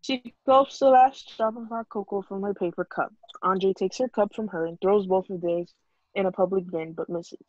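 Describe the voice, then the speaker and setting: A woman's voice: medium pitch, moderate pace, a little mellow, no room sound. Woman reading stage directions, dull lo-fi sound. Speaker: table read narrator reading stage directions. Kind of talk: scripted narration read aloud. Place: online video call. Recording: dull lo-fi video call audio.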